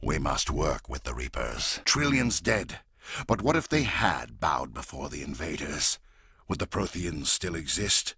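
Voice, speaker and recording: A fanatical alien warlord in a video game, menacing and cold. His low, very husky voice has a sharp, forceful edge. He speaks deliberately and grandly, with intense swings of pitch. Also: very animated, no room sound, studio quality, heavily acted. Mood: intense, persuasive. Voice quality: gravelly, raspy. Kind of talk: dramatic video game voice acting.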